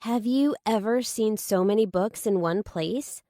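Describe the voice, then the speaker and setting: An excitable, pushy female friend in a thriller audiobook, acted out by a woman narrator. She is nosy and dramatic, exclaiming and questioning eagerly. Her pitch jumps up high, and the sound is dry. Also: measured pace, dry recording, close to an everyday voice. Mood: amazed, prying. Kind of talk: audiobook character dialogue.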